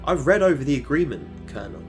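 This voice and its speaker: A man's voice: fairly high pitch, sing-song, fast talker, music in the background. An actor playing a stern team leader who gives orders firmly and protects his people. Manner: firm, authoritative, swears when angry.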